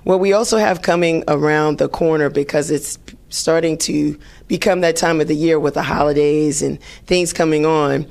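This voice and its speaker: A woman hosting a podcast in a chatty, upbeat way, her voice a little raspy today. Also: low voice, steady tone, normal speed, darkish tone. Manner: upbeat, chatty, many fillers. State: slightly raspy voice. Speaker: podcast host. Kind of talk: casual solo podcast talk.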